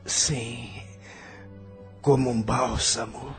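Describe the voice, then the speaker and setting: A man's voice: mid-range voice, steady tone, drawn-out words, faint music behind. A man playing an agitated, ailing immigrant in an audio drama, theatrical and impassioned, embittered by hardship. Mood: weak, dazed. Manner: theatrical, impassioned, swinging from faint to outcry.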